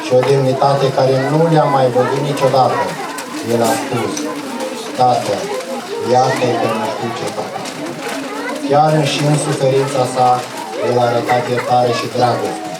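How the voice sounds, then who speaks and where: A man playing a solemn Roman soldier in a puppet show, reciting gravely and a little stiffly. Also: medium pitch, steady tone, lightly acted. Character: solemn Roman soldier who witnessed the crucifixion. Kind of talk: puppet show interview acting, scripted. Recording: echoey distant recording over noisy crowd.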